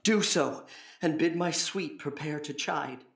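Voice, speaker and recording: A woman's voice: low-pitched, measured pace, vocal fry. A woman playing a lovesick, impassioned young man in classical Shakespearean stage style. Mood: relieved. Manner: impassioned, poetic. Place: indoor performance space. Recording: echoey stage recording.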